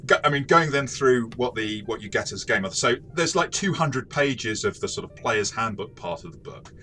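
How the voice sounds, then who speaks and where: A man co-hosting a casual game review discussion, talking in a rambling, halting way and often repeating words. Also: mid-range voice, lively intonation, bright, forceful tone. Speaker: podcast host and game reviewer.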